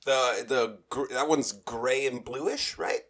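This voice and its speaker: A man's voice: mid-range voice, slow, fairly bright tone. A man in his twenties co-hosting a podcast in casual, jokey, self-deprecating chat. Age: 20s. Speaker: podcast co-host.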